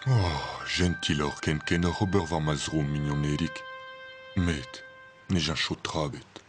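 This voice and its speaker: A man voicing a gentle, grave old talking tree in a children's cartoon. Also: a bass voice, fairly even intonation, slow pace. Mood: kindly, resigned. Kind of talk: dubbed children's cartoon acting. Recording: music under the voice.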